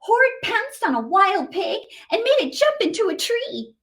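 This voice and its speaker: High, animated woman reading to children. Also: moderate pace. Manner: expressive, chatty asides to young listeners. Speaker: storytime host reading to children. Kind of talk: animated picture-book read-aloud.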